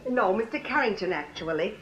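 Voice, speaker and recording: An actress playing a polite, oblivious office girl in a radio drama, gently persistent. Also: mid-range voice, expressive, fairly quick, lo-fi sound, lightly acted. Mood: politely insistent. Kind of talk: British radio drama acting.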